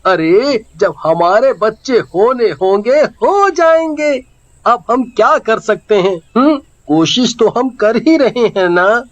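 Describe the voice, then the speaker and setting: An expressive young village husband in a cartoon tale, voiced by a man. He is high-pitched and slow, easily excited or worried. The sound is dry and somewhat muffled. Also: no echo, heavily acted. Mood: soothing. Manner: expressive, stammering when scared.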